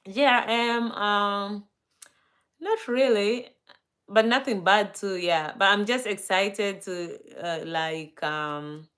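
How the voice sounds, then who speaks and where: A woman's voice: mid-range voice, a bit bright. A woman with a Kenyan accent hosting a casual livestream, chatting easily and greeting viewers, with many fillers and restarts. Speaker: livestream host. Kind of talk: casual livestream chat reading viewer comments.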